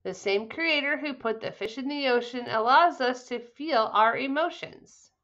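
A woman reads a kindly storybook safari doctor. He explains things reassuringly in a bright, clear-cut voice, with slightly dull webcam sound. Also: sits high, moderate pace. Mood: instructive. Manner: instructive, reassuring. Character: kindly male safari chiropractor explaining health.

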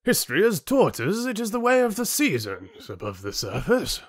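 An older, gluttonous fantasy chieftain, acted out by a male audiobook reader, speaking in a raised pitch. He is pompous and sneering, theatrically dismissive of those beneath him. The sound is close and dry. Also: huge pitch swings, normal speed, no echo, full character voice. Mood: scornful, dismissive. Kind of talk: audiobook character acting.

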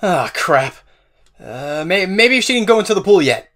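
A man's voice: sits high, sing-song, moderate pace, fairly bright tone. A man playing a nervous, kind-hearted teenage boy in a fan audio drama, stammering as he talks. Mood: hurried.

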